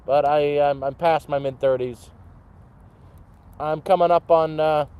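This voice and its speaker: Livestreamer, 30s man, mellow voice, slightly high, rambly and sweary, outdoor campfire. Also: fairly even intonation, unhurried, clean tone. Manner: rambling, swears, talks to chat. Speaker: livestream host. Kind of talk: casual livestream chat.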